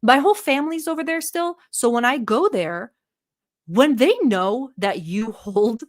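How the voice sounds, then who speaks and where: A woman's voice: on the high side, lively intonation, measured pace. A woman from Turkey hosting a live stream, giving blunt, mocking and profane commentary while talking to her chat. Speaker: livestream host reacting to a video.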